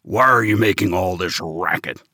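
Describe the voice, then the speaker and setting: A man's voice: low-pitched, normal speed, bright, forceful tone, no echo, studio quality. A man voicing a large, stubborn guard in a children's story, pompous and bellowing. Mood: stern. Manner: pompous, bellowing, rigid logic. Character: big stubborn guard in red armor, booming.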